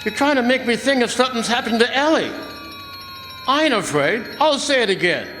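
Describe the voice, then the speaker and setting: A man's voice: extremely high pitch, animated, fairly quick, sharp tone, soft background music, exaggerated voice. A man playing a hot-tempered, bitter ambulance driver in an old-time radio drama, slangy, blustering and agitated. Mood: bitter, defensive. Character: hot-tempered, bitter working-class male ambulance driver, desperate husband. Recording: echoey hall stage recording, faint noise.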